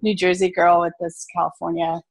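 Gravelly-voiced woman telling her story. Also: lowish voice, monotone, a little fast, dry recording. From South Africa. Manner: chatty, lots of ums and light laughs. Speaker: farmer and innkeeper. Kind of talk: casual interview storytelling.

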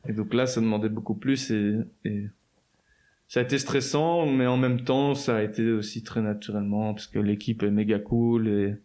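Man, 20s, low pitch, mellow tone, slangy, casual guest. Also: normal speed. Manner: relaxed, slangy, many fillers and restarts. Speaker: guest musician. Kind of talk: casual interview chat.

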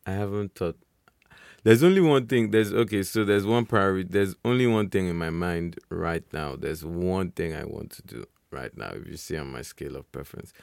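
A man co-hosting a podcast, chatting casually in a relaxed, rambling way. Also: low-pitched, creaky, no room sound. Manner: relaxed, rambling with restarts and laughs. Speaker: podcast co-host. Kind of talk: casual chat.

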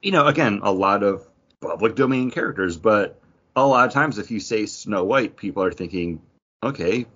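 A man's voice: lowish voice, animated, moderate pace, a bit bright, slightly rough. A man chatting casually as a podcast co-host, opinionated and casually profane. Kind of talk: casual chat. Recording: dull lo-fi audio.

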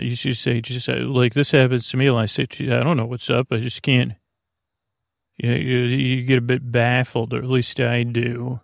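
A man's voice: mid-range voice, dry recording, studio quality. A man hosting a sleep podcast, rambling in a hesitant, meandering way with lots of fillers and restarts. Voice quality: creaky. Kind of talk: rambling bedtime monologue.

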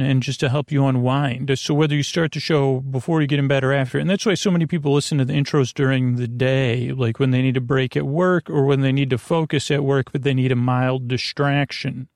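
A man hosting a bedtime podcast in a gravelly, creaky voice, rambling haltingly, trailing off and circling back. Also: mid-range voice, darkish tone, no room sound, studio quality. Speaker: podcast host.

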